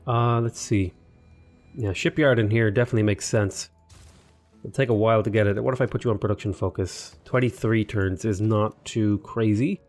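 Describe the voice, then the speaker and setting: A man's voice: on the low side, steady tone, moderate pace, a little mellow, faint music behind. Sniffly man musing through a strategy game. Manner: thinking aloud, rambling, talks to game units. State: sniffly, slightly unwell. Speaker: gaming YouTuber. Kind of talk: casual let's play commentary.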